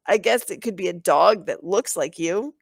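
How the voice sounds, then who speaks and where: A woman's voice: fairly low pitch, animated, moderate pace, gravelly, slightly rough, no room sound, exaggerated voice. A woman voicing cocky twelve-year-old schoolboys with a mocking, showy manner in a children's storybook. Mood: teasing.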